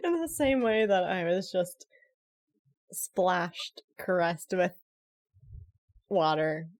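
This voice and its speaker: Slow-talking woman player, low voice. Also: very animated, no echo, studio quality. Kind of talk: in-character play and banter at a game table.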